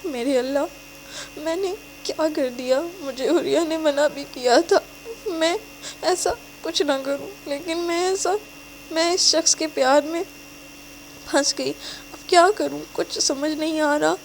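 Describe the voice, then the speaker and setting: Brief: distraught young woman pleading through tears, high and strained, read by a woman narrator. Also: flat delivery, few pauses, slight background noise, lightly acted, low-quality recording. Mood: sobbing. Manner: tearful, pleading, high-pitched. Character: desperate heartbroken young woman, sobbing.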